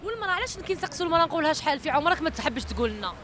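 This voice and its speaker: Very fast, high-pitched Algerian woman reporter. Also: big pitch swings, fairly bright tone. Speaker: street reporter and host. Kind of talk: vox pop interviewing. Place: outdoors on a busy city street. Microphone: handheld microphone. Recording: faint outdoor street noise.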